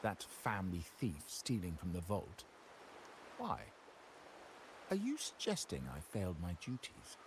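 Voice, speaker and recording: A man playing a stern, proud heir of a powerful crime family in a video game, speaking formally and with measured authority. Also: low-pitched, huge pitch swings, noisy background, full character voice. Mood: cold, imperious. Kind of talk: dramatic video game dialogue.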